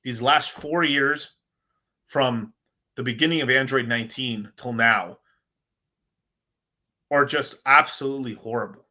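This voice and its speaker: Dude rambling on a crypto stream, bright voice, says bro constantly. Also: male voice, medium pitch, measured pace, long pauses. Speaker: crypto livestream host. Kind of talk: casual livestream chat.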